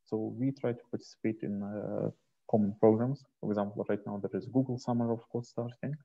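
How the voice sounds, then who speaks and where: A man presenting slides informally on a video call, speaking in a hesitant, halting way into a headset. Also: lowish voice, steady tone, gravelly. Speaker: host and presenter of an online community onboarding session. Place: small indoor room, video call. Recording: dull, muffled headset call audio.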